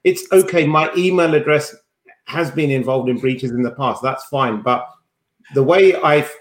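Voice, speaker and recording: A man's voice: on the high side, a little slow. A man co-hosting a podcast, chatting in an informal, teasing way. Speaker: podcast co-host. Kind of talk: casual chat. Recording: roomy, echoing sound.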